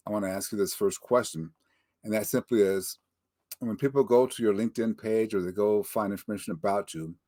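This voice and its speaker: A man's voice: fairly low pitch, a little mellow, dry recording, clean studio sound. Chatty older male interview host. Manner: chatty, joking, upbeat. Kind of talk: casual interview chat. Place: home office in Denver, Colorado.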